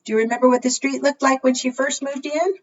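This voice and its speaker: A woman leading a preschool storytime, reading aloud and talking to young children in an expressive, deliberate, teacherly way. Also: high voice. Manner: asks the young listeners frequent simple questions. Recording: muffled, distant microphone.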